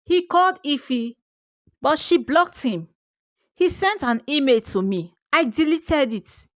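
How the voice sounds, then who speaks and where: A woman with a Nigerian accent telling a scripted first-person story in an expressive, theatrical way. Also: sits high, slow pace, no echo, clean studio sound. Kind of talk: dramatic first-person scripted folktale narration.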